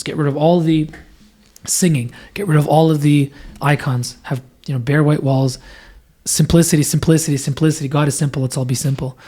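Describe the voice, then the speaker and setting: A man's voice: on the high side, darkish tone. A man with a scratchy voice, a deacon explaining church worship in earnest, thoughtful conversation, building his points through repetition. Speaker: deacon guest.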